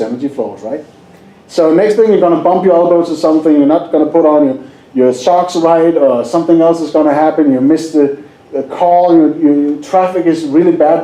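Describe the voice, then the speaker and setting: Danish-accented male seminar speaker, jokey and chatty, mellow tone, roomy echo. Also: fairly high pitch. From Denmark. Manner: jokey, asks the audience questions. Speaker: hypnosis presenter. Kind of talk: live seminar talk.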